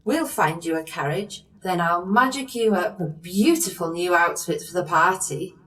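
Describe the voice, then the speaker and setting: A woman playing a brisk, cheery, no-nonsense fairy godmother in an audio pantomime, with a theatrical manner. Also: on the low side, fairly bright tone, far from the mic, natural voice. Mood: brisk, bossy. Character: brisk, cheery fairy godmother who often talks in rhyme.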